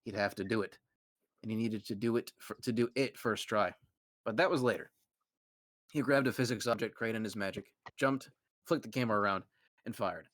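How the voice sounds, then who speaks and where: A man's voice: fairly low pitch, brisk, pauses often, fairly bright tone, no echo, studio quality. A man reading a fanfic story aloud to friends, often breaking into laughter. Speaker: fanfic reader, host.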